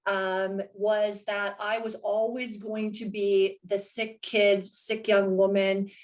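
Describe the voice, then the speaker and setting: A woman in her late forties from Canada, a podcast guest speaking in an energetic, articulate and reflective way. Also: mid-range voice, slow pace. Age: 40s. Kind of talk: casual interview chat.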